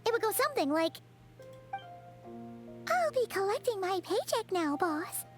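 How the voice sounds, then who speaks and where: A woman voicing a small, excitable, cheeky fairy girl in a video game, with a squeaky childlike voice. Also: unhurried, heavily acted. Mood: puzzled, joking. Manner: speaks of herself in the third person, exclaiming. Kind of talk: video game character acting. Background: game music.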